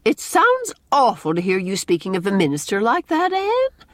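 A woman's voice: fairly high pitch, huge pitch swings, moderate pace, dry recording, studio quality, voice pushed up. A woman voicing a stern, opinionated older village matron in an audiobook, emphatic and scolding with old-fashioned country phrasing. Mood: indignant, severe. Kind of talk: audiobook character dialogue.

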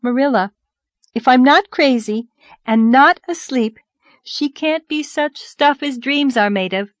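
A woman voicing a sweet, excitable young schoolteacher in an audiobook story, earnest and given to breathless exclamations. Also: high-pitched, a little slow, dry recording, muffled recording, voice pushed up. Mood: excited. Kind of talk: audiobook character voice.

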